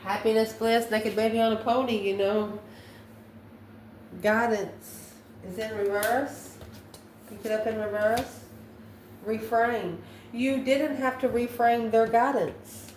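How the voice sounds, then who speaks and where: A woman giving an improvised spiritual tarot reading to viewers, musing in a halting way and posing questions to herself. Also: on the high side, steady tone, a little mellow. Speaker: tarot card reader, channel host. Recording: echoey room, distant mic, faint noise.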